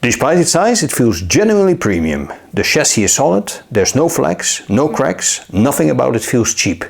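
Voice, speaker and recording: A man narrating a scripted gadget review in a measured, upbeat way. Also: mid-range voice, sing-song, creaky. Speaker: tech reviewer.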